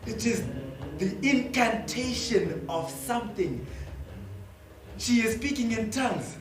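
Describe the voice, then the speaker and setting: A man delivers a dramatic stage monologue in an extremely high voice. His storytelling is intense and emotive, built from short emphatic phrases. The sound is roomy, with faint noise underneath. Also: lively intonation, takes it slowly. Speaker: stage actor narrating as a child.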